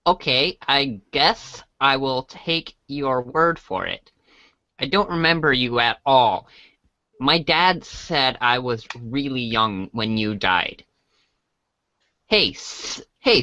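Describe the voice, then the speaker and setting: An earnest, naive boy voiced by a woman, hesitant and polite. The voice is low for a woman, with lively intonation and an unhurried pace, recorded very dry as a fan theater reading. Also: dry recording, heavily acted. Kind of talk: read-along fan theater.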